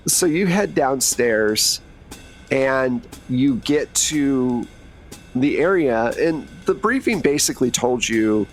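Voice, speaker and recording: A man's voice: medium pitch, expressive, slow pace, faint music behind. A man narrating a tabletop role-playing game as host, in an informal, conversational way. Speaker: game master and host of an actual play podcast.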